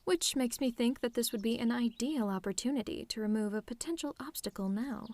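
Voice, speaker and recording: A woman playing a telepathic villainess in an ASMR roleplay, sardonic and theatrical, menacing with a tender streak, in deliberate phrasing. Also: a little high, a little mellow, no echo, natural voice. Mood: sardonic, probing. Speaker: audio roleplay performer.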